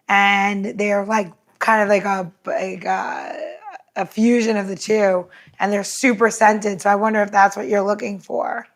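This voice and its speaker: A woman in casual podcast chat, rambling with lots of filler words. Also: medium pitch.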